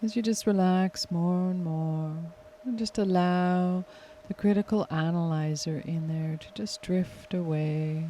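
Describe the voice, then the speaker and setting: A woman leading a guided hypnosis meditation in a soothing, lulling, unhurried way. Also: fairly low pitch, warm tone, a little hiss or hum. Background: music.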